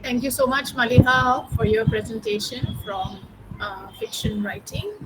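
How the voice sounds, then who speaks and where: A woman moderates an academic seminar and introduces each presenter formally. She speaks in a measured way, pausing on fillers between names. Her voice sits a little high, and steady background noise and clicks are clearly audible. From Bangladesh.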